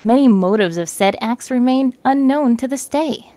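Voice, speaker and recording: A woman's voice: on the high side, moderate pace, warm tone, dry recording, pitched above the performer's own voice, close to an everyday voice. A woman voicing a fervent young female student activist in a read-aloud story.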